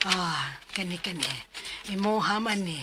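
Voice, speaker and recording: A woman's voice: fairly low pitch, a little slow, over loud music, close to an everyday voice. An actress playing a concerned, hesitant middle-aged mother in a Bisaya radio drama, with a Cebuano accent. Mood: resigned. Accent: Cebuano Philippine. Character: concerned middle-aged Filipina mother, reluctant but yielding.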